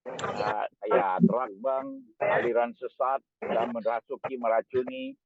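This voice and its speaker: Forceful man on a tinny call line. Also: medium pitch, huge pitch swings, takes it slowly, creaky, slight background noise. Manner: forceful, urging bold action. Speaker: meeting participant. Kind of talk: casual online meeting discussion.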